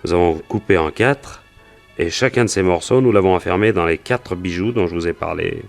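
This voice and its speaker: A man's voice: low-pitched, a little fast, vocal fry, soft background music. An actor playing a wary, plain-spoken cowboy and gold prospector in a French radio detective drama, telling his story in colloquial speech. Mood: explaining, recalling.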